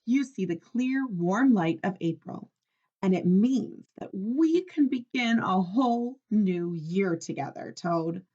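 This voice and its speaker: A woman's voice: mid-range voice, lively intonation, slow pace, dark tone, dull audio. A woman voicing an eager, cheerful male frog in a children's storybook, coaxing and insistent. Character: eager, cheerful male frog urging his friend awake.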